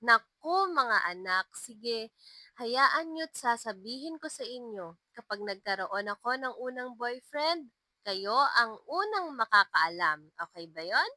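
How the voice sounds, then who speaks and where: Kind, fondly teasing young schoolmistress played by a woman narrator, animated and bright, audio love story. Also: fairly high pitch, unhurried, no echo, heavily acted. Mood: flustered, teasing. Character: kind, playful young female village schoolteacher.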